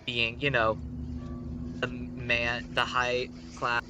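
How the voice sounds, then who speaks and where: A man's voice: mid-range voice, monotone, takes it slowly, noisy background. A man telling a story casually on a live stream, rambling with conversational asides.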